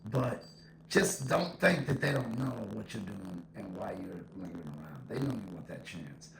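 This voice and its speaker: A man speaks in a very creaky, gravelly voice at an ordinary male pitch. He gives his opinions casually, as a vlogger does, circling back to repeat points for emphasis. An echo effect hangs on the voice, over faint background noise. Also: animated, normal speed. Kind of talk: casual opinion monologue to camera.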